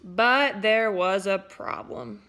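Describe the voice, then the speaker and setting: A woman teacher reading a picture book aloud to children, expressive and playful, breaking off for little asides to her listeners. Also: medium pitch, slow, vocal fry. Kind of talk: storybook read-aloud for children.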